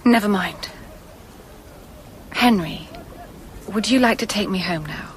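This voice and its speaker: A woman's voice: medium pitch, takes it slowly, slight background noise, lightly acted. A woman acting in a radio drama as a grave, determined young woman, earnest and probing as she speaks. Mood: quiet, resigned. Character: grave, determined young woman uncovering her lost love's murder.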